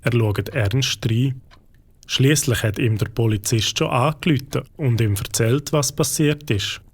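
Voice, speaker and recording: Calm Swiss German male narrator. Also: medium pitch, measured pace, husky. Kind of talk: storybook narration.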